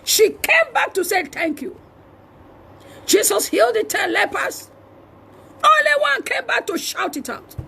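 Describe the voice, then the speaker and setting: A Nigerian woman preaches in a very high-pitched voice with a gravelly creak. She delivers a fiery charismatic sermon, exhorting forcefully and repeating phrases for emphasis, often at a shout. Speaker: preacher and prophet.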